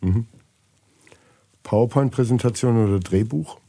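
A male podcast host with a dark, relaxed voice at an average pitch. He puts brief quick-fire questions to his guest and keeps his own part concise and attentive. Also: vocal fry, slightly rough.

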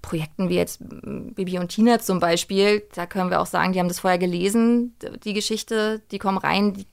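A woman's voice: medium pitch, steady tone, a little fast, creaky, smooth. A woman chatting casually and in colloquial detail as a director and interview guest. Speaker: radio play director and voice actress, interview guest. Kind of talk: casual studio interview chat.

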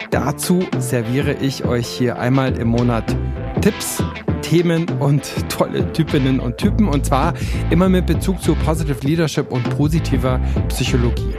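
A man hosting an interview podcast in German, asking questions in a friendly, brisk way. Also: mid-range voice. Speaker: podcast host.

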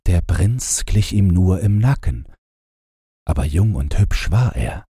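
A male audiobook narrator reads a bedtime fairy tale at a slow pace. His voice is dark and very husky, with expressive swings in pitch. It is scripted storytelling meant for falling asleep. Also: dry recording, clean studio sound.

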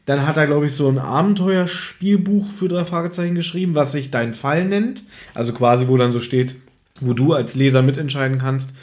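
A man's voice: mid-range voice, a little mellow. A man hosting a podcast in speech, chatting casually about radio plays in a teasing, nitpicking way. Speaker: podcast host.

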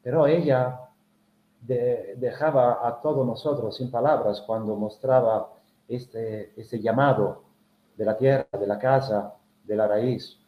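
A man's voice: mid-range voice, steady tone, measured pace. Middle-aged Italian-accented man, Italian native, hesitant storyteller, roomy and muffled recording. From Italy. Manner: hesitant, reflective, many filler sounds. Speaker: guest. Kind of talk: personal storytelling in an interview.

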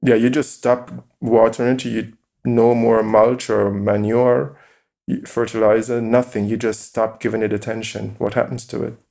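A man as a podcast guest, calmly explaining his view with examples and rhetorical questions. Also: mid-range voice, moderate pace, creaky. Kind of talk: interview conversation.

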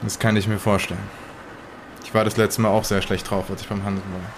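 A man playing a guilt-laden, evasive smuggler in an improvised audio drama, with terse, halting, resigned delivery. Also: on the low side, little pitch movement, noisy background, lightly acted.

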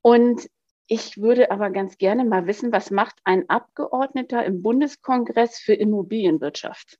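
A woman's voice: fairly high pitch, moderate pace, mellow. A woman hosting a podcast interview who talks in a loose, chatty way and often corrects herself mid-sentence. The sound is dull and dry, with no room echo. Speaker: podcast host and supervisor.

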